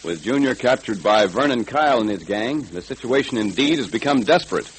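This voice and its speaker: An American man announcing in 1930s radio style, emphatic and deliberate, pitching to young listeners. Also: expressive, husky, low-quality recording. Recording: hissy 1930s radio recording.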